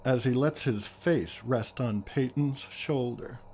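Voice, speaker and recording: A man's voice: mid-range voice, slow pace, a little mellow, a little hiss or hum. A man giving scripted narration for a radio play. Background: background music.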